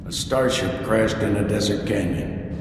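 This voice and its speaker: An actor playing the ghost of a dead Jedi master, speaking slowly and solemnly. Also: male voice, lowish voice, noisy background, lightly acted. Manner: slow, distant, solemn. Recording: echoey, reverberant ghost voice.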